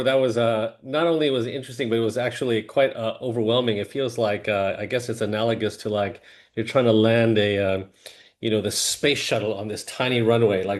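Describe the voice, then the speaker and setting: A man in middle age hosts a webinar over a video call. His voice sits a little low, and he talks casually, full of fillers and restarts. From Hong Kong family, grew up in Singapore. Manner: halting, many fillers and restarts. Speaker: webinar host, mortgage lender.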